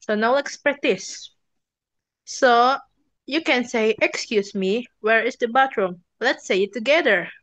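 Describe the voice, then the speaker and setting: A young woman teaching a beginner English class, giving slow, deliberate instructions and bright praise. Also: fairly high pitch, dry recording. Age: young adult. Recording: dull, dry and slightly muffled.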